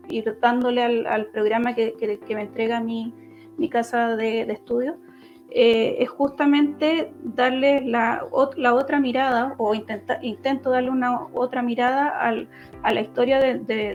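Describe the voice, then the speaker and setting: Halting Chilean woman, higher-pitched, dull audio. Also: a little mellow. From Los Ríos region, southern Chile. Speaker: political candidate and law lecturer. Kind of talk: spoken interview answers in an online debate. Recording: dull lo-fi online audio, music behind.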